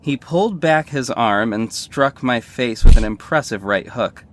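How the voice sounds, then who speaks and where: A man's voice: medium pitch, animated, measured pace, a bit bright, a little hiss or hum. An actor playing a sardonic male superhero who narrates his own story in a scripted audio drama, wry and earnest. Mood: tense recounting.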